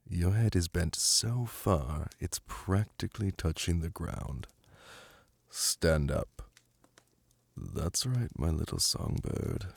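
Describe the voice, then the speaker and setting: A man voicing a suave, flirtatious, lordly demon prince in an ASMR roleplay, purring teasing endearments in a languid, imperious manner with a gravelly, breathy voice. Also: extremely low pitch, a little mellow, no room sound, full character voice. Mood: commanding. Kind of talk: ASMR roleplay audio drama. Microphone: close, dry microphone.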